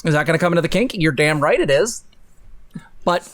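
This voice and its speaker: A woman's voice: sits low, animated, a little fast. A young woman co-hosting a casual livestream, sardonic and profane, leaning hard on words for emphasis. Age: young adult. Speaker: co-host of a livestream. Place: bedroom, indoors. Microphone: close desk microphone on a boom arm.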